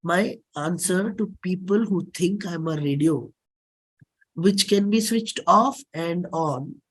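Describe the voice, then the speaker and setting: A woman with an Indian accent giving a dramatic reading of a play script in a deliberate, declamatory manner. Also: on the low side, husky. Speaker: performer reading own play.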